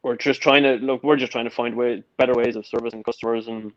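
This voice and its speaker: A man's voice: mid-range voice, fairly even intonation, quick pace, dry recording. A man in his thirties with an Irish accent, talking casually over a video call as an interview guest, with lots of "look" and "yeah" fillers and restarts. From Ireland. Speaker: guest, golf company founder. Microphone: video call, built-in mic. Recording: dull lo-fi call audio.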